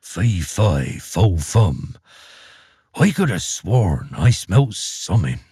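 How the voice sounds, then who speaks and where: A man voicing a huge, menacing male ogre who chants and growls his words slowly. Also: huge pitch swings, slightly rough, no room sound, studio quality, full character voice. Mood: grumbling. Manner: slow booming growl, drawn-out chant.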